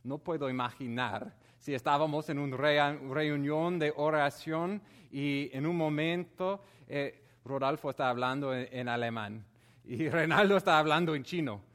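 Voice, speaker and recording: A man preaching a Bible teaching sermon in a conversational, animated way, with frequent hesitations. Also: a little high, moderate pace.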